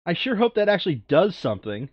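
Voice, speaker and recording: A man casually playing through a board game, reading card text aloud and adding expressive, muttered asides. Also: high voice, fast, no room sound, lo-fi sound.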